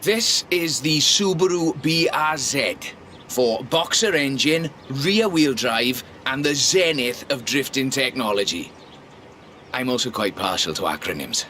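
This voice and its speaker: Lively, polite drift club enthusiast. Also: male voice, medium pitch, a little slow, slight background noise. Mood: enthusiastic, instructive. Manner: polite, chatty, understated, gently teasing. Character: genteel, car-mad man leading a drift club. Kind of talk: scripted video game character dialogue. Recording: game audio under loud music. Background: music and car engines.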